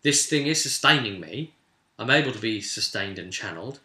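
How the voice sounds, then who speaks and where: A man's voice: fairly low pitch, lively intonation, moderate pace, pushed and bright. A man voicing an earnest, burdened mage who protects his town, explaining himself in a pleading way in a tabletop game retelling. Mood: earnest, resigned. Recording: roomy, echoing sound.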